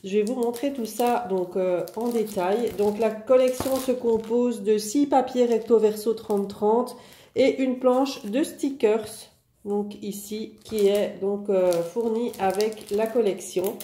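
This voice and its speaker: Woman with a head cold, very dark mellow voice, chatty craft-shop product showcase, roomy echo. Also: on the high side, steady tone, takes it slowly, slight background noise. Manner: chatty, many "euh" and "donc" fillers. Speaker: online craft shop owner presenting products.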